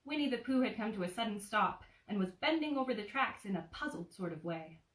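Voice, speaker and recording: A woman's voice: medium pitch, lively intonation, brisk, distant mic. A woman presenting and reading a children's bedtime story in a casual storytelling style. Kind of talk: casual introduction and storybook narration. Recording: roomy, a little off the mic.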